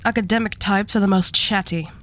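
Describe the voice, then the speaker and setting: Female arch devil for an ASMR roleplay, acted by a woman: mid-pitched, imperious treasure hoarder, condescending, unhurried, courteously threatening. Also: steady tone, a bit bright, lightly acted. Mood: coolly menacing. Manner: condescending, unhurried, politely menacing. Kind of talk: scripted ASMR roleplay acting.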